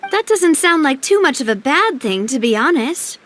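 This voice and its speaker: An actress voicing a poised, earnest young woman who leads an organization in a game cutscene, with a refined, measured, sincere manner. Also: sits high, very animated, a bit bright, slight background noise. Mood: composed, inquiring. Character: poised young lady leading a crime-fighting organization, earnest and polite. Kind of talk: video game cutscene voice acting.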